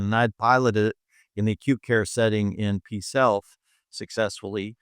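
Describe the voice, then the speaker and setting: Smooth-voiced middle-aged American man, close dry sound. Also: lowish voice, a bit bright, no echo. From Montana, United States. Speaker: interview guest, hospital chief medical officer. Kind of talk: reflective personal storytelling in an interview.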